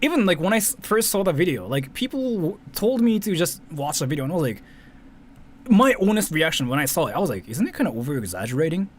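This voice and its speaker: A man's voice: on the high side, big pitch swings, quick pace. A man giving casual, rambling, sweary reaction commentary, often repeating himself. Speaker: commentary streamer. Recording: faint background noise.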